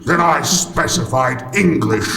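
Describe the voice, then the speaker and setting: An actor playing a huge, pompous, bad-tempered giant who bullies imperiously in an audio pantomime. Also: male voice, a little high, lively intonation, slow pace, vocal fry, pushed and bright, heavily acted. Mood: imperious, scornful. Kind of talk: audio pantomime villain acting. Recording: echoey castle-like reverb.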